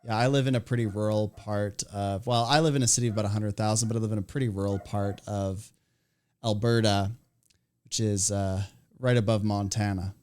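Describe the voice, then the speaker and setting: A man's voice: lowish voice, fairly even intonation, a little fast, a little mellow, clear, resonant tone. A young Canadian man with a partly American accent, hosting a casual chat and laughing often. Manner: chatty, laughs often, restarts. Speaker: podcast host.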